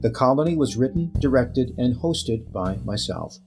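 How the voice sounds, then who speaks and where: A man's voice: medium pitch, expressive, moderate pace, vocal fry. A man hosting a radio play, reading a scripted sign-off and cast credits. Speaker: host and writer-director. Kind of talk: scripted radio host outro. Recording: guitar music under voice. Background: guitar music.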